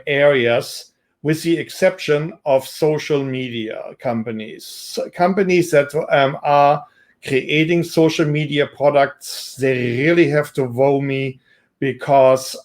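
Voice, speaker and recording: A man with a German accent introducing himself as an investor, speaking in a measured, businesslike way with frequent pauses and ums. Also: medium pitch. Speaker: investor and entrepreneur. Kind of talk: self-introduction to camera.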